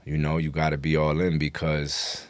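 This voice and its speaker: Deep voiced new york guy, rapper on a podcast, slangy and sweary, dry sound. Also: completely flat delivery, moderate pace, clear, resonant tone, no echo. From New York City. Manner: slangy, profanity-laced, frequent "you know". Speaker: rapper, interview guest. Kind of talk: casual podcast interview talk.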